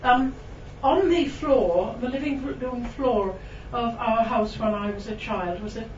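Welsh woman, higher voice, reads poetry to a live room, chatty asides; distant mic, echoey, dull and noisy. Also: darkish tone. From Wales. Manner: chatty and self-mocking, with frequent ums and laughs; slow, lingering delivery when reading verse. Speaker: poet giving a reading. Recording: distant, roomy, dull recording with background noise.

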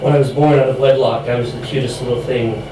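A man's voice: mid-range voice, steady tone, quick pace. A young man from the United States reading his poetry at a live event, his voice going from a chest infection. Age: young adult. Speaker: poet. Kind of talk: reading own poem aloud at a live event. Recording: very echoey room, distant mic, clear noise.

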